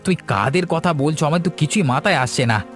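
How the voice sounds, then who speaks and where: A man's voice: a little high, lively intonation, a little fast, faint music behind, lightly acted. A man playing a concerned, protective village husband in a cartoon ghost story, earnest and dramatic. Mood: worried.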